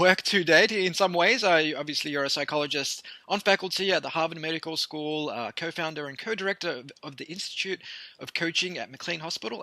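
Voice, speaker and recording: Bright, high-voiced male podcast host. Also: no echo. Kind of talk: scripted introductions and interviewing.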